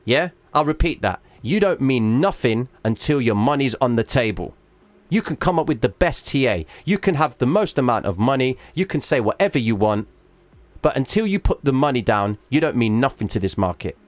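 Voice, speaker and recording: Man, livestream host, chart walkthrough, emphatic, going hoarse, dry close sound. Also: mid-range voice, sing-song, moderate pace, dry recording. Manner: emphatic, checks in with "all right?" often. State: losing his voice. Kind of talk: live chart walkthrough to viewers.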